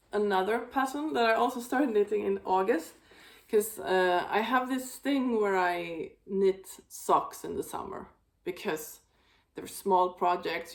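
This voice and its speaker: A woman hosting a knitting video, chatting casually about her projects, with a slightly raspy voice and a rambling, filler-heavy way of talking. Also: fairly low pitch. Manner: rambling, many fillers and restarts. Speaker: knitting podcast host.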